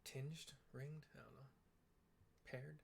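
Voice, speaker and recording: Guy narrating a game playthrough, relaxed, mid-pitched, fixes his own words a lot. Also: slow, gravelly, a little hiss or hum. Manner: relaxed, describing the scene, self-correcting. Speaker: let's play narrator. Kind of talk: casual commentary on a game.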